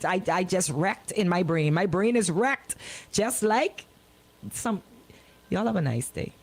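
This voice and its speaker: A woman's voice: fairly low pitch, lively intonation, a little slow. A woman radio host giving an opinionated monologue in an indignant, emphatic, colloquial way, repeating words for effect. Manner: colloquial, folksy phrasing.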